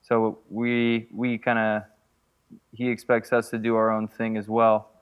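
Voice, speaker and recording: Young guy, smooth clear voice, rambly, says like constantly. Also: fairly low pitch, completely flat delivery, measured pace. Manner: rambling, full of "like", chuckling. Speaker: guest. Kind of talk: casual podcast interview.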